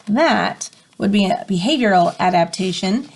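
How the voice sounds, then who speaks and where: A woman's voice: mid-range voice, animated, normal speed, vocal fry, breathy edge. A woman reading a picture book aloud like a teacher, expressively and with dramatic emphasis, adding short explanatory asides.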